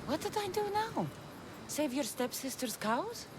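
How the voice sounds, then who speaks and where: A tough young mercenary heroine from a game, sardonic and sceptical. Her pitch sits on the high side and swings freely. Prominent music plays behind her. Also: sing-song, measured pace. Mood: exasperated. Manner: dry, sceptical, sarcastic. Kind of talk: video game cutscene acting.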